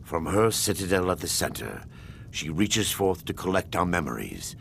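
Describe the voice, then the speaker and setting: Devout game priest, gravelly bass, formal measured speech, ominous gravity. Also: male voice, big pitch swings, fairly bright tone, slight background noise, full character voice. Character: grave, devout priest guiding a younger man. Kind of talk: fantasy game character dialogue.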